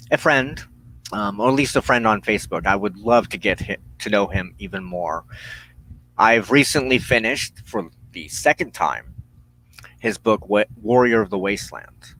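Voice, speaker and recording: A man hosting a spiritual broadcast, giving a reflective, unscripted monologue in an earnest, halting way with emphatic phrasing and pauses. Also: lowish voice, fairly bright tone, a little hiss or hum, rough recording. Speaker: host of a weekly spiritual broadcast.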